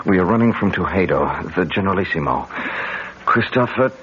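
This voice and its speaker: An uneasy, earnest academic in a vintage radio drama. His voice is low and his delivery hesitant. The recording is dull with some faint noise. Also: lively intonation, brisk. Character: earnest, uneasy college professor husband on the run. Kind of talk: old-time radio drama acting. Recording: slightly noisy, muffled old radio recording.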